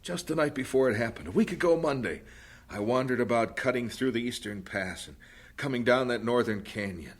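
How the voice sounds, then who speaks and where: Man acting an expressive, earnest missionary husband. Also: mid-range voice, a little fast, lightly acted. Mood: sorrowful, regretful. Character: earnest missionary husband, devoted and plain-spoken. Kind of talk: old-time radio drama acting.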